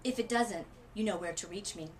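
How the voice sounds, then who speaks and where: A woman voicing a bustling male family doctor in a children's storybook, puzzled but brisk, with deliberate delivery. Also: mid-range voice, a little hiss or hum, lightly acted. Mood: reassuring.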